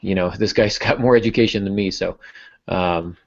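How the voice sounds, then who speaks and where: A man's voice: low-pitched, steady tone, normal speed, gravelly, highs cut off. A man hosting a writing podcast in casual talk, with a self-deprecating, joking manner. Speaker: podcast host and fiction writer.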